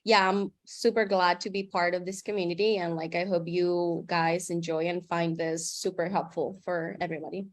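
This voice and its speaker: Lower-pitched Colombian woman, casual. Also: level tone, moderate pace, few pauses. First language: Spanish. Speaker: association co-founder. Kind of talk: casual self-introduction.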